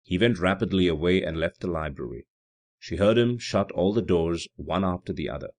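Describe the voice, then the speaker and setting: A man's voice: low-pitched, fairly quick. A man reading literary fiction aloud as scripted audiobook narration. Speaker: audiobook reader.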